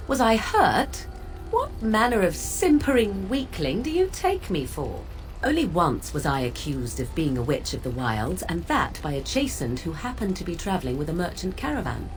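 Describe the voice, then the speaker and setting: Game witch: young, proud, sardonic, fairly low voice, animated, disdainful archaic diction. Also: fairly quick, few pauses, faint music behind. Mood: scornful, smug. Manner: archaic, arch, disdainful phrasing. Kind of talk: video game dialogue.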